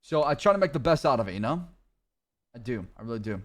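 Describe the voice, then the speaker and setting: A man's voice: medium pitch, expressive, fast talker. Arabic-native man talking carefully. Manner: careful, plain phrasing, thinking aloud. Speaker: streamer playing a police leader. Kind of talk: roleplay chat and talking to stream chat.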